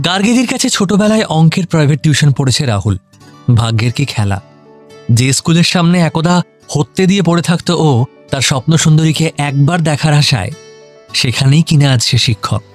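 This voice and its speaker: A man's voice: fairly high pitch, lively intonation, fairly quick, faint music behind. A man narrating a scripted romantic audio story.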